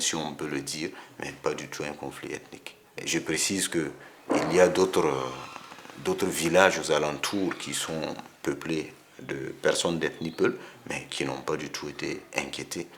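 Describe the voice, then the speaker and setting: Male interviewee, extremely low creaky voice, careful delivery. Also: fairly even intonation, a little slow, a bit bright, slight background noise. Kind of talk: considered interview answers.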